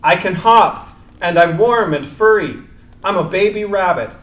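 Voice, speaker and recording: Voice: medium pitch, lively intonation, a little slow, a bit bright. An actor playing a small, naive baby rabbit who is lonely, hopeful and politely earnest. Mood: earnest, insistent. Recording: echoey room.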